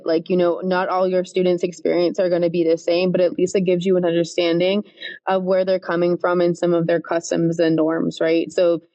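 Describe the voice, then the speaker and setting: A woman who coordinates English learner programs, talking through classroom advice in a relaxed, thoughtful way with frequent restarts. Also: fairly low pitch, highs cut off. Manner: thoughtful, restarts often, many "um" and "right?". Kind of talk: casual podcast interview.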